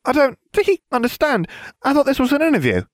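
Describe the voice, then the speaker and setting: A man voicing a giggly, airheaded young witch who punctuates her words with a squeaky tee-hee. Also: huge pitch swings, quick pace, dry recording, clean studio sound, full character voice. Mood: giggly, flustered.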